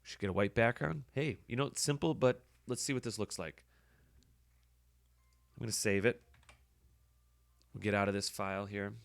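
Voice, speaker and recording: A man with a hoarse voice, casually talking through his editing work as a podcast host, thinking aloud with fillers. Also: lowish voice, steady tone, moderate pace, pauses often, no room sound. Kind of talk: casual screen-recording commentary.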